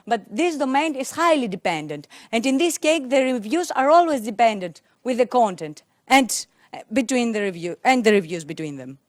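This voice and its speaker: A young woman whose first language is Greek presents at a tech conference, holding a mic and searching for words with frequent fillers and restarts. Her voice sits a little high. The sound is dry and close, with little room in it. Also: big pitch swings, moderate pace, few pauses, no room sound. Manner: hesitant, many fillers and restarts. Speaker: conference speaker. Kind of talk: technical conference talk. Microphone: handheld mic.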